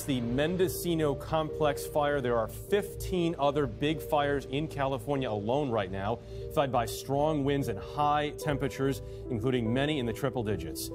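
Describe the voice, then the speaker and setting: Man reading news over music. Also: medium pitch. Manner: brisk, clipped news reading. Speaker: news reporter. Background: music bed.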